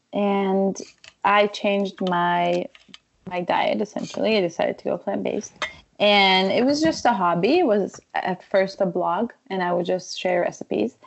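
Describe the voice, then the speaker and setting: A woman's voice: mid-range voice, creaky, highs cut off. A woman from Israel, a wellness coach, speaking as a podcast guest and telling stories animatedly. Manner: animated storytelling, frequent laughs. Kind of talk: conversational interview.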